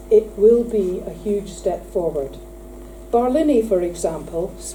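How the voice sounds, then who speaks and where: A middle-aged woman with a loud, projecting voice gives a formal welcome speech at a conference, earnest and emphatic, with dry humorous asides. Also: medium pitch. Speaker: conference speaker opening the event. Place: conference hall. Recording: distant mic in a hall with faint hum.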